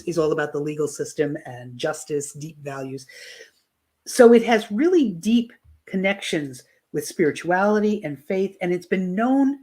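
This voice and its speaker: A woman's voice: sits low, darkish tone. A middle-aged woman astrologer, losing her voice, explaining planetary cycles in a live online talk, often restarting her phrases. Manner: explanatory, with frequent restarts and fillers. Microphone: video call.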